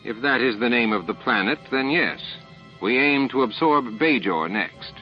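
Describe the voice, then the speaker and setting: Man acting a smooth, condescending alien hive leader. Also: mid-range voice, lively intonation, normal speed, highs cut off, soft background music, voice pushed up, lightly acted. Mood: matter-of-fact. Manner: smooth, formal, self-assured. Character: calm, condescending male alien hive leader, reasonable and baffled.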